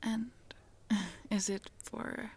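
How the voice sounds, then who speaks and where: A woman voicing a friendly, chatty young Swedish traveler with a Swedish accent, in a soft, giggly whisper for an ASMR roleplay. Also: fairly high pitch, level tone, drawn-out words, slightly rough, full character voice.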